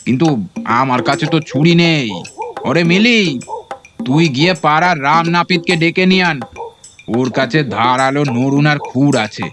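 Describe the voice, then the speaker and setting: A man's voice: fairly high pitch, normal speed, over music, lightly acted. A man playing a self-important, alarmist village quack doctor in a comedy audio drama, speaking in grave, pompous pronouncements. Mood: bossy.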